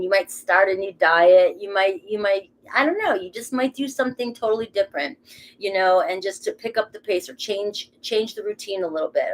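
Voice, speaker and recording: A woman's voice: medium pitch, poor audio. A middle-aged woman with a Boston accent giving a casual, rambling tarot reading, swearing casually as she talks. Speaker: tarot reader.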